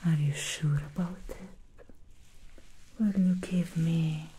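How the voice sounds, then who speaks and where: A woman's voice: low voice, fairly even intonation, mellow, a little hiss or hum, rough recording. Woman whispering as a seductive female demon stylist. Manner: slow, breathy whisper, teasing and seductive. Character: alluring female demon hairstylist from the underworld. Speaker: ASMR roleplay performer. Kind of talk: whispered ASMR roleplay. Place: dark indoor studio set.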